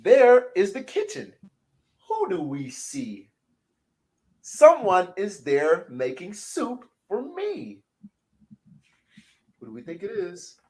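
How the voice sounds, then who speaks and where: A man voicing a sick, stuffy-nosed little horsefly who is gentle and sweet, reading a children's picture book aloud in a hoarse, sniffly voice. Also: high-pitched, huge pitch swings, slow pace, pauses often, pitched above the performer's own voice, full character voice. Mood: singsong.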